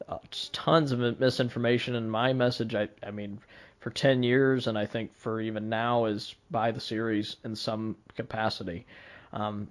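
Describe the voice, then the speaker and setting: Man, medium-pitched voice, podcast host, casual chat, earnest, wordy.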